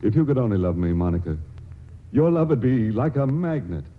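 Tinny old-radio lovesick, stammering suitor. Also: male voice, medium pitch, very animated. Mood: ardent, fumbling. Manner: halting, stammering, earnest. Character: earnest, awkward, lovesick scientific young suitor, hesitant and adoring. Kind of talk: 1940s radio acting. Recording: tinny, band-limited 1940s radio broadcast recording.

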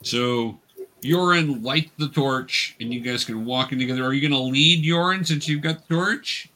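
A man's voice: mid-range voice, normal speed, pushed and bright, poor audio. A man speaking as a patient game master, casually explaining and narrating a tabletop game for young players over an online call. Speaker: game master teaching children tabletop roleplaying.

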